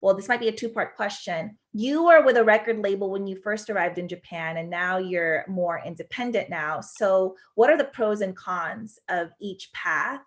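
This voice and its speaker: A woman's voice: mid-range voice, normal speed, few pauses. A woman hosting a podcast, chatting casually and asking questions, often saying "like.". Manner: chatty, filler "like". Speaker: podcast host. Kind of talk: casual interview chat.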